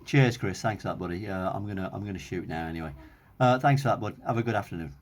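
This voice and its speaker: Low-voiced Englishman thinking aloud. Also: quick pace. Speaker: hobbyist video presenter. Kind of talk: casual hands-on demonstration narration.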